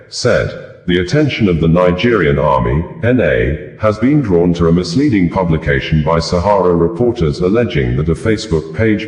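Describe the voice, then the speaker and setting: Deep-voiced man reading news in echoey room. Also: a little mellow. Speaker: news reader. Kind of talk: scripted news narration.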